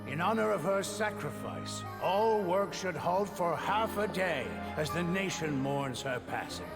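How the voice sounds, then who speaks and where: Ceremonious high-voiced dignitary, reverberant. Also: male voice, animated. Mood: mock solemn. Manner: slow, formal, ceremonious. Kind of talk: dramatic game trailer acting. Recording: long hall reverb, music behind.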